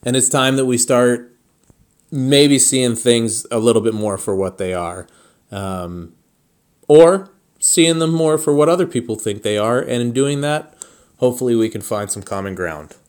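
A man hosting a solo podcast, speaking straight to listeners in a deliberate, halting way with pauses mid-thought. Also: medium pitch, a little mellow. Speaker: podcast host.

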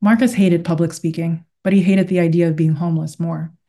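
Woman author doing a book reading, lowish voice, really mellow and dark. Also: steady tone, a little fast. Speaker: novelist and guest author. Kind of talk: interview talk and read-aloud of literary fiction.

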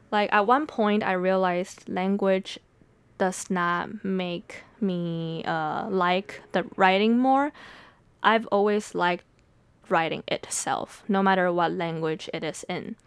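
A young Taiwanese American woman whose first language is Mandarin, talking candidly and thoughtfully on her own podcast, laughing softly between thoughts. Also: on the low side, steady tone, takes it slowly, clean tone, no room sound. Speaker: poet and podcast host. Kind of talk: reflective solo podcast talk.

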